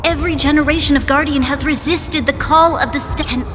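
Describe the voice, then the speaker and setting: An actress playing an earnest, dutiful young daughter in a dramatic game cutscene, formal and pleading. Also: female voice, high voice, steady tone, brisk, close to an everyday voice. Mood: troubled, pleading. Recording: long hall reverb, music behind.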